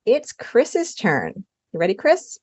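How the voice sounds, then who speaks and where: A woman's voice: mid-range voice, huge pitch swings, slow pace, darkish tone, slightly rough. A woman co-hosting a podcast, delivering a scripted introduction. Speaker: podcast co-host.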